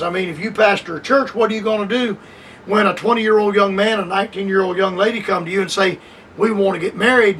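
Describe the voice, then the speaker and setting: An older man giving a radio Bible lesson, measured and repetitive, restarting phrases with frequent hesitations. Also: sits high, fairly bright tone, recorded from a distance, a little hiss or hum, low-quality recording. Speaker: radio preacher.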